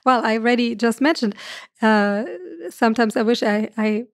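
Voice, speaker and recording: A middle-aged woman from Germany with a German accent, speaking earnestly as a podcast guest on a boom mic. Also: steady tone, moderate pace, no room sound, studio quality. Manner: earnest, gestures a lot, laughs often. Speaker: guest astrophysicist. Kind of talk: podcast conversation. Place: room with curtains. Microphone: studio mic on boom arm.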